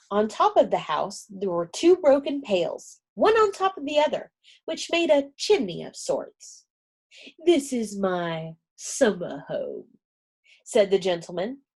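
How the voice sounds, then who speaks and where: A woman reading a children's storybook aloud, gentle and expressive. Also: medium pitch, husky.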